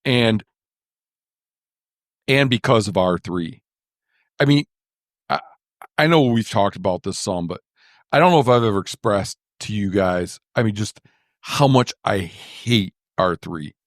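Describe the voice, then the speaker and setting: Low, slow male host, casual and wry, dead-dry recording. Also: animated, no echo. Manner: slow, thoughtful, self-deprecating humor. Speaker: podcast host. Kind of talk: casual podcast chat.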